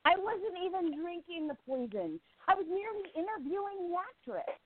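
A woman's voice: very high-pitched, lively intonation, normal speed, slight background noise. A woman playing a spirited, independent young journalist in an amateur radio play, speaking earnestly and with feeling. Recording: tinny phone-like sound.

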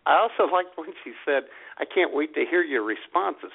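Man, slightly high voice, gravelly, teasing casual co-host, phone-like sound. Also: lively intonation. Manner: teasing, quick to laugh. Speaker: podcast co-host. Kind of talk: casual chat.

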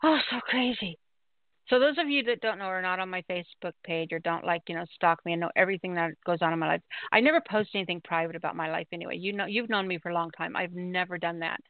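A woman telling a story on a call-in show in a chatty, animated way, laughing often. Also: on the low side, fast talker, dry recording. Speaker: caller sharing a story. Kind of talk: casual call-in chat. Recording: tinny phone line with faint noise.

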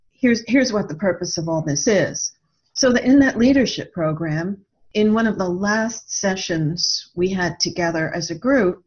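A woman speaking as an interview guest, telling her story in a reflective, conversational way. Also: medium pitch. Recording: slightly muffled lo-fi audio.

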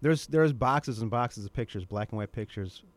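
A middle-aged man chatting informally and a bit haltingly as a guest on a radio show. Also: mid-range voice. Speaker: interview guest, documentary maker. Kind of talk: casual radio chat.

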